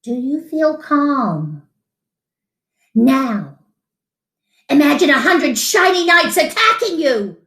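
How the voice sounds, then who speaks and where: A kindly young storybook knight played by a woman, earnest and encouraging. The voice swings up and down, slow and instructive. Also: high voice, very animated, a bit bright, pitched above the performer's own voice, heavily acted. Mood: reassuring.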